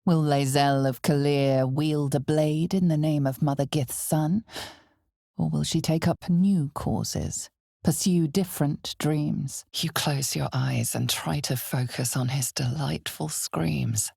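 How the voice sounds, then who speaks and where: Husky, ominous fantasy game narrator. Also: female voice, fairly even intonation, warm tone, no echo, studio quality, full character voice. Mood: measured, foreboding. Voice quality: husky, breathy, low. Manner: measured, deliberate, dramatic, often dropping toward a breathy whisper. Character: ominous, knowing female narrator of a dark fantasy tale. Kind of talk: scripted dark fantasy game narration, second person.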